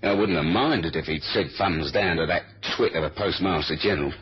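British secret agent, very low voice, wry, understated, muffled vintage radio recording. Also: male voice, brisk, slightly rough. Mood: wry, low-key. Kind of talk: 1970s radio spy drama acting. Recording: dull old radio recording.

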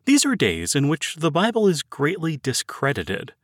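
A man narrating a written devotional sermon in a measured, earnest, formal oratorical manner. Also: on the high side, wildly swooping pitch, dry recording, studio quality. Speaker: audiobook narrator reading a sermon. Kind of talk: scripted devotional sermon reading.

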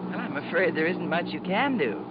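An actress playing a polite, brisk airline stewardess with a courteous, apologetic manner in 1950s radio style. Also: female voice, on the low side, animated, lightly acted. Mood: soothing. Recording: dull, very noisy 1950s radio recording.

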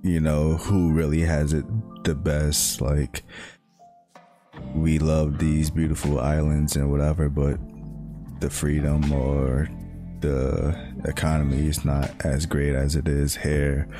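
Young man, very low voice, flat delivery, halting and reflective, steady guitar music underneath. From United States. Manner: halting, many "like" fillers, reflective. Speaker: speaker sharing personal reflections. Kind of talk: casual monologue.

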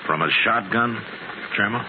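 An actor playing a calm, stern frontier marshal in a 1950s radio western, speaking with dry authority. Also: male voice, fairly low pitch, moderate pace, slight background noise, lightly acted. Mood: stern, pressing. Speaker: lead actor and narrator. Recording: dull old radio recording under loud music.

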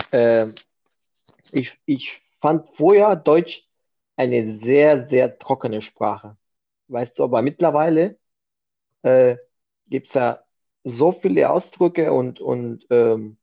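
A man's voice: medium pitch, creaky. A man whose first language is Spanish, a podcast guest chatting loosely in German, with lots of fillers. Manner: loose, filler-heavy, says "weißt du" often. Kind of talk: casual interview chat. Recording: tinny phone-like line.

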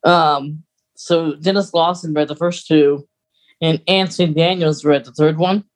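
A man with a fairly high-pitched voice co-hosts a podcast. He talks casually, like a fan explaining fine details, and often halts as he works through them. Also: steady tone, measured pace. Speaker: podcast co-host.

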